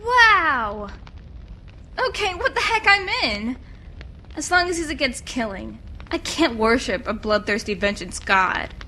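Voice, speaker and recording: A woman plays a savvy young rogue in a comic cartoon. Her voice is high and very lively, with a playful, breezy manner. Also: measured pace, a little hiss or hum, heavily acted. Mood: breezy agreement. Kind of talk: animated comic fandub acting.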